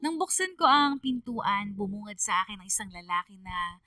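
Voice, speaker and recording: Hurt daughter narrator, Filipino accent, mid pitch, bright, emotive radio drama narration. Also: female voice, animated, moderate pace, no echo, clean studio sound. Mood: sorrowful. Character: hurt young daughter narrating her own story.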